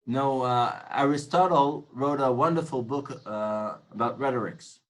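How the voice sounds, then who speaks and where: A man's voice: mid-range voice, measured pace, poor audio. Man lecturing, earnest and reflective. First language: Hebrew. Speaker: tour guide instructor. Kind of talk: informal lecture on guiding.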